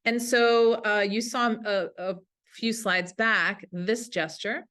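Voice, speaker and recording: A woman's voice: mid-range voice, fairly even intonation, slow pace, a bit bright. A woman teacher giving an instructional webinar talk to colleagues in an enthusiastic, informal way. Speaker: language teacher presenting a webinar.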